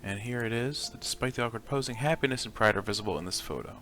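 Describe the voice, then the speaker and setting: A man's voice: medium pitch, lively intonation, fast talker, sharp tone. A man hosting a gaming video, giving casual, offhand let's play commentary as he thinks aloud. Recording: music behind the voice.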